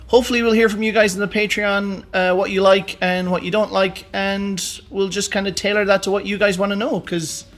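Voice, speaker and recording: High-voiced man in casual podcast chat. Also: flat delivery, fairly quick, hardly any pauses, a bit bright. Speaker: podcast co-host. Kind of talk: casual chat about films and games.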